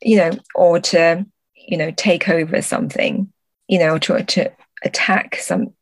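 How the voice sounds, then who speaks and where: Low-pitched woman, thoughtful and searching. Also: fairly even intonation, measured pace, no room sound. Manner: hesitant, searching for words, self-correcting. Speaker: podcast guest, translator and book editor. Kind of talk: thoughtful conversational interview answers.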